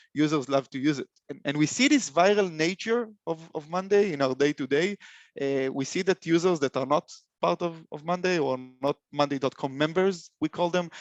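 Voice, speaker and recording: Israeli guy giving a webinar over a call, gravelly voice, lots of uhs, kinda dull lo-fi sound. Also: a little high, no room sound. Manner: halting, many fillers and restarts. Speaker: webinar presenter. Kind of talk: live webinar presentation.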